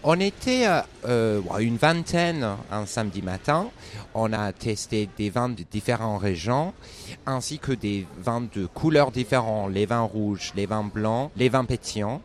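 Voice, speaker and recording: A man with a slightly low voice and a British accent speaks in an interview outdoors at a fair. He hesitates often and restarts his phrases, and there is faint ambient noise behind him. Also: lively intonation, a little slow. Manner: hesitant, many restarts and fillers. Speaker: wine competition judge.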